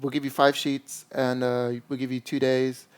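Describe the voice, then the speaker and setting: Young man, smooth clear voice, rambling, casual podcast host. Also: mid-range voice, monotone, moderate pace. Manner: rambling, many fillers.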